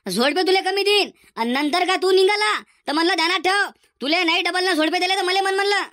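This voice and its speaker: A man voicing an exasperated village schoolteacher in a comedy cartoon, hectoring in a Varhadi Marathi accent through a pitched-up effect. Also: fast, sharp tone, no echo. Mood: threatening. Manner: hectoring, repetitive, threatening.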